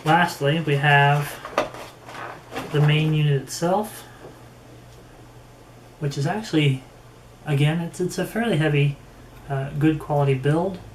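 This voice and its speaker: A man giving a casual, unhurried product review, haltingly thinking aloud as he goes. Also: a little high. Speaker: gear reviewer. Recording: roomy echo with faint background noise.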